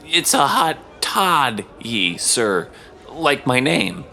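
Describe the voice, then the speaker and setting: A man playing a meek, put-upon cantina server who speaks in a deferential, halting way. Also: mid-range voice, very animated, sharp tone, faint music behind, exaggerated voice. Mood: eager, deferential.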